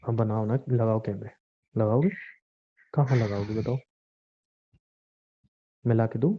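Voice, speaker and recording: A man's voice: fairly low pitch, fairly even intonation, unhurried. A man playing a bitter, threatening husband in a domestic argument drama, taunting and domineering as he speaks. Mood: threatening, goading. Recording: thin, muffled phone-call recording.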